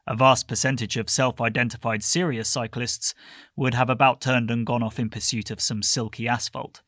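A man's voice: mid-range voice, fairly bright tone, no echo. Man reading in a dry studio. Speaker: reader of magazine article. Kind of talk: scripted narration.

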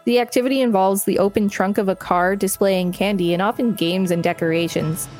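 A woman co-hosts a podcast in a medium-pitched voice. She reads an article aloud and drops in casual asides. Music plays behind her. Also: steady tone, a little fast, a little mellow. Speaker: podcast co-host.

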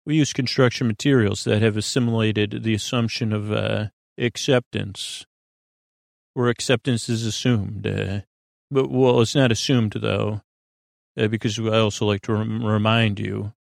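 A man with a creaky voice hosting a bedtime podcast in a soothing, lulling, meandering monologue that halts and drifts into tangents. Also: lowish voice, fairly even intonation, no echo, studio quality. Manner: meandering, halting, trailing off into tangents and repeats. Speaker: host of a bedtime story podcast. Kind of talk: rambling bedtime monologue to lull listeners to sleep.

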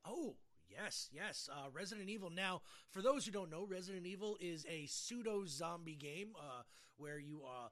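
Bright, higher-pitched man hosting a podcast. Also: expressive, moderate pace. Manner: rambling, enthusiastic, profane. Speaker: podcast host. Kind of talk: casual chat.

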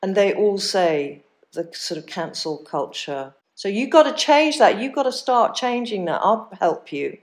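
A middle-aged woman hosting an interview podcast, talking directly and chattily and letting her thoughts trail off quietly at the end. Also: medium pitch, lively intonation, unhurried, poor audio. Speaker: podcast host. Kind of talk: casual interview chat.

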